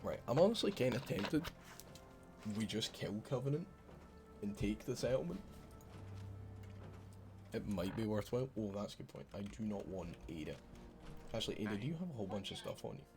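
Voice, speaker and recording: A man's voice: fairly low pitch, sing-song, fairly quick, long pauses, music in the background. A man with a Scottish accent streaming a video game, chatting casually, swearing freely and bantering with his viewers. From Scotland. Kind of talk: casual gameplay commentary.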